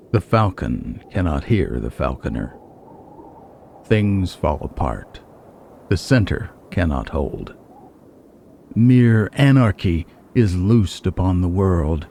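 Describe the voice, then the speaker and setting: A man performing a solemn, theatrical poetry recitation in a grave, drawn-out delivery. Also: low-pitched, lively intonation, mellow, a little hiss or hum, exaggerated voice. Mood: grave, foreboding. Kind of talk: dramatic poetry reading.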